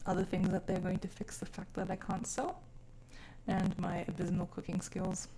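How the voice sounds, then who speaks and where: A woman from South Africa who grew up mostly abroad talks to her live stream viewers. Her delivery is casual and expressive, with an informal, sweary way of putting things. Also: on the low side, moderate pace, slight background noise, rough recording. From born in South Africa, grew up mainly overseas from age six. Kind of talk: casual chat.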